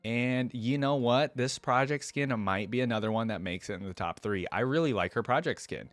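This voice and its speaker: A man's voice: medium pitch, moderate pace, dry recording, faint music behind. A man hosting a reaction video, commenting casually and informally as he muses and thinks aloud.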